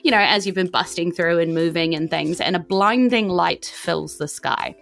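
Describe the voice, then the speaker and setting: A woman's voice: on the low side, normal speed, gravelly, fairly bright tone, soft background music. A woman narrating a tabletop game as game master, descriptive and conversational.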